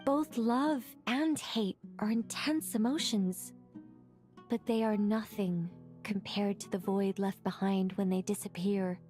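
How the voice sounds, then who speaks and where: A woman's voice: mid-range voice, steady tone, slow pace, darkish tone, faint music behind, natural voice. A woman voicing a playful, boastful young angel woman in a video game, with theatrical delivery. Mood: solemn. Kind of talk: scripted video game voice acting.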